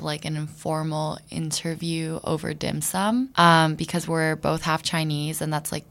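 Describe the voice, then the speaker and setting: A woman talks casually on a podcast, tentative and hedging. Her voice is low and gravelly. She often softens her points with "sort of" and "like.". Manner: tentative, hedging, many fillers. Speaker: podcast team member. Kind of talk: casual chat.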